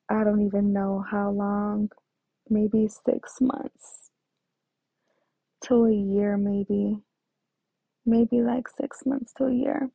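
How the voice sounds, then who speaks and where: Woman recounting her life story, slow-paced and hesitant, heavy on fillers; the sound is phone-like. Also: a little high, creaky, no room sound. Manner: hesitant, many fillers and restarts. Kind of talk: personal storytelling. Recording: tinny phone-like dry sound.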